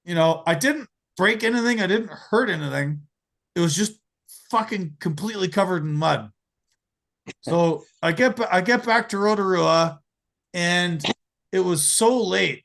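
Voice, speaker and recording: An American man in his forties hosts a relaxed podcast chat, his voice a touch higher than most men's and roughened by a gravelly edge. He tells stories in an animated way, swears freely and trips over repeated words as he goes. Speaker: podcast host. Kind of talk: casual storytelling chat.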